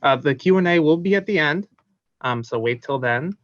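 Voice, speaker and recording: A man's voice: on the high side, expressive, normal speed, highs cut off. A man hosting a library program, introducing a speaker in a casual way with many ums and uhs. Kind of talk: event introduction.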